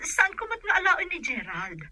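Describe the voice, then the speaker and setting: A woman's voice: very high-pitched, huge pitch swings, moderate pace, sharp tone, heavily acted. A woman playing an anxious middle-aged birth mother longing for her child, pleading emotionally in an Ilocano radio drama, with an Ilocano accent.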